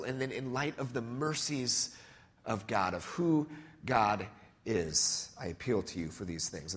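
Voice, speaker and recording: Casting note: male pastor, cold-stuffed nasal voice, conversational sermon delivery, mid-pitched. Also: fairly bright tone. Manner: conversational, many fillers and restarts.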